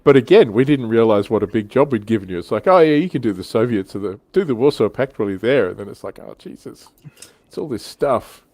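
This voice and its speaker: A man's voice: mid-range voice, lively intonation, quick pace, darkish tone, no room sound. A man hosting a casual hobby livestream panel, thinking aloud in a hesitant, self-deprecating way. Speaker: panel show host.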